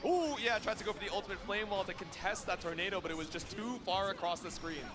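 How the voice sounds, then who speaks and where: A man's voice: high voice, fast. A man commentating a fighting game match live, play-by-play, on an esports broadcast. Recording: broadcast with prominent music behind. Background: music.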